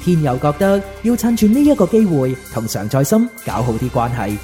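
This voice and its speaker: Mellow, expressive male narrator. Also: on the high side, brisk, soft background music. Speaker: drama narrator. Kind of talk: scripted storytelling narration.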